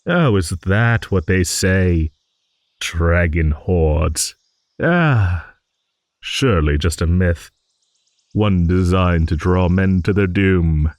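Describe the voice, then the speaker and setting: A man playing a gentle, courteous old dragon who rambles hesitantly in an improvised fantasy audio roleplay. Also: low-pitched, no echo. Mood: bemused, modest. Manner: rambling, hesitant, genteel, with musing hums and pauses.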